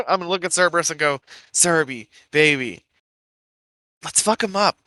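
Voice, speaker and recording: A man's voice: on the high side, expressive, moderate pace, pushed and bright, dry recording, clean studio sound. A man playing a tabletop role-playing game, talking in casual, slangy, profane banter.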